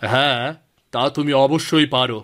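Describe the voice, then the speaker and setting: A man's voice: medium pitch, fairly even intonation, moderate pace, fairly bright tone, lightly acted. A man voicing an earnest, persistent village fellow in a cartoon moral story. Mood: hurt. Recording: music behind the voice.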